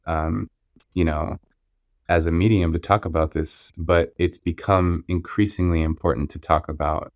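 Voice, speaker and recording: A man with a low, level voice hosts a podcast and talks in an earnest, measured way. His tone stays clear and smooth, recorded dry and close. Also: no echo. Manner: measured, with frequent restarts and "you know". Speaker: podcast host. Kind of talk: interview intro, earnest and reflective.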